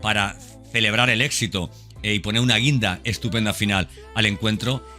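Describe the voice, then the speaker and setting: A man hosts a podcast in a fairly low voice with a bright, forceful edge. He speaks informally and off the cuff, straight to the listener, repeating phrases for emphasis. Music plays softly behind him. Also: steady tone, fairly quick. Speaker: podcast host. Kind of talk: informal unscripted monologue. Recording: background music under the voice. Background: background music.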